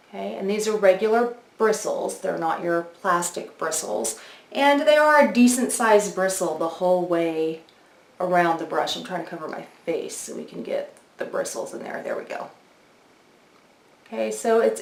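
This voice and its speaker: A woman in her forties giving a casual, explanatory product review, speaking directly to her viewers in a conversational way. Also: mid-range voice. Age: 40s. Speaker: beauty video host. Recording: echoey untreated room.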